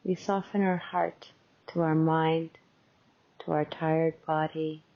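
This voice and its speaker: A woman teacher leading a guided meditation in a gentle, unhurried, conversational and softly instructive way. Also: sits low, level tone, lo-fi sound.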